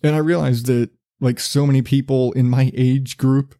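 A man with a relatively low voice hosting his own podcast, rambling casually with a loose, profane, self-deprecating manner and frequent restarts. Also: steady tone, moderate pace, dark tone, no echo, clean studio sound. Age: not a millennial. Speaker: podcast host.

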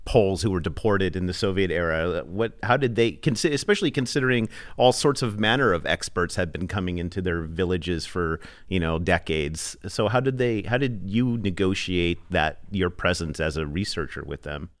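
Deep-voiced man host asking questions. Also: moderate pace, few pauses, dry recording. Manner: probing, restarts his questions. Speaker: podcast host. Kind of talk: interview questions.

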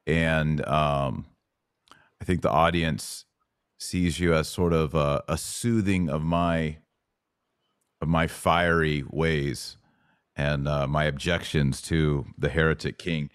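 A man's voice: rumbling low, fairly even intonation, slow, clean tone, dry recording, studio quality. A man hosting a podcast in a conversational interview style. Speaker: podcast host.

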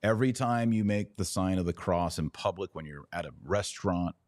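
An American man hosting a podcast, giving religious commentary off the cuff in an emphatic, preachy way with deliberate pauses and repetition. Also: low-pitched, no echo. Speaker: podcast host.

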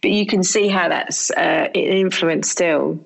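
A woman's voice: lowish voice, measured pace, creaky, husky, low-quality recording. A woman hosting a casual podcast interview, asking questions and chatting.

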